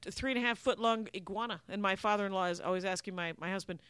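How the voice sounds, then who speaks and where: Bright-voiced joking woman comedian. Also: mid-range voice, fast talker, smooth, dry recording, clean studio sound. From Wisconsin, USA. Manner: rambling, joking, slips into mock voices. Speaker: stand-up comedian and guest. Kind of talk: casual comedic chat.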